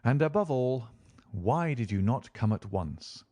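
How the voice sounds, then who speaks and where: A man voicing a commanding, impatient male detective with a crisp, forceful delivery in an audiobook. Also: mid-range voice, animated, unhurried, no echo, lightly acted. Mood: urgent. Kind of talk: audiobook character reading.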